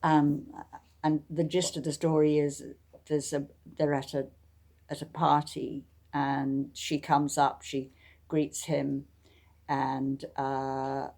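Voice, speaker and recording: A woman author presenting and reading her own novel in a measured, deliberate way with frequent pauses. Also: low-pitched, flat delivery, darkish tone. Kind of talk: audiobook reading and informal introduction.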